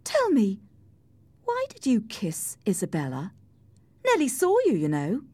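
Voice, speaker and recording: A woman voicing a passionate, angry young married woman with theatrical, shrill outbursts in an audiobook story. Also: wildly swooping pitch, a little slow, a little mellow, breathy edge, dry recording, full character voice. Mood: angry, probing. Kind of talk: audiobook character acting.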